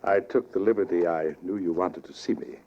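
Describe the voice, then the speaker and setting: A man's voice: sits low, brisk, slight background noise. An actor playing an unctuous, easily flustered cemetery salesman in a 1940s radio drama, obsequious and hesitant. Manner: obsequious, stammering. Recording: muffled 1940s radio broadcast.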